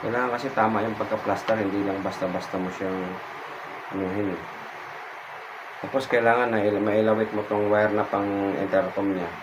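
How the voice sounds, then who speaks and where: Low-voiced Filipino man over loud noise. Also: fairly even intonation, moderate pace. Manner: informal, repeats phrases as he demonstrates. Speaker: vlogger giving a hands-on tutorial. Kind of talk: casual hands-on how-to chat. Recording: very noisy background ambience.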